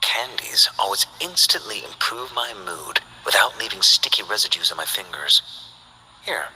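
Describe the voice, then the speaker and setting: Rational young scientist for a game, lowish, very bright tone, lively intonation, formal. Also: male voice, heavily acted, low-quality recording. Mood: measured. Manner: measured, formal. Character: precise young male scientist, calm and rational. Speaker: game character. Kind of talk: game voice lines.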